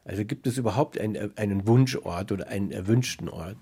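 A man hosting a radio arts interview, asking questions in a reflective, deliberate way. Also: fairly low pitch, dark tone, husky. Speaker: host, interviewer.

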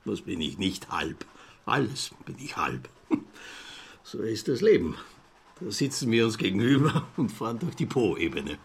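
An actor playing a chatty, self-important greying traveller of about fifty in a radio play, rambling along with an Austrian accent and rhetorical questions. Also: male voice, lowish voice, sing-song, a little slow, creaky, breathy edge, heavily acted. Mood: chatty, amused.

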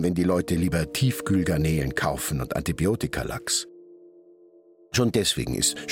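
An actor playing a brooding, plain-spoken hairdresser, a fisherman's son, in a reflective radio drama that mixes narration and inner monologue. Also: low-pitched. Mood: aggrieved. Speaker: actor who also narrates his figure.

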